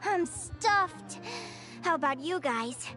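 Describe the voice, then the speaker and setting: A woman's voice: very high voice, slow pace, exaggerated voice. A woman playing an earnest, curious girl adventurer in a video game, speaking expressively. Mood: lively, curious. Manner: earnest, expressive, wistful storytelling. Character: curious, adventurous girl raised by fae, earnest and bright-eyed. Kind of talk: video game character acting. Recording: game music under the voice.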